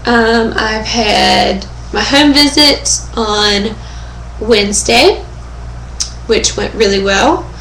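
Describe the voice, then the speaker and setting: A young woman with a hoarse, fading voice, chatting casually in a webcam update from home and often pausing with fillers and throat clearing. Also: a little high, fairly bright tone, a little hiss or hum. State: hoarse, losing her voice. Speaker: vlogger. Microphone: webcam microphone. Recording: echoey room on a webcam.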